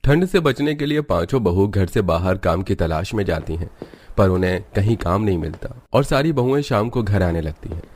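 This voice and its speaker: Male narrator for cartoon tales: low voice, scripted storytelling delivery. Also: animated, normal speed, darkish tone, slight background noise.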